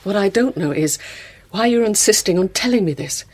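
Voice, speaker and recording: An actress playing a pointed, sarcastic, sceptical middle-aged wife in a naturalistic radio drama. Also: female voice, medium pitch, lively intonation, fairly quick, a little mellow, lightly acted. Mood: cold, sarcastic.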